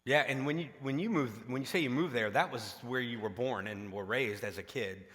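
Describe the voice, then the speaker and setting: A man's voice carries through a large, reverberant hall. He has a middle pitch and talks like a pastor hosting a conversation, informal and meandering as he sets up questions for his guests. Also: moderate pace, a bit bright. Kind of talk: conversational on-stage interview.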